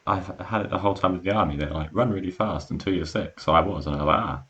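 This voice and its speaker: A man's voice: deep voice, fast talker, bright, forceful tone, highs cut off. A young man bantering on a podcast in a blunt, offhand way, often trailing off. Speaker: podcast co-host. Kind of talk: casual banter.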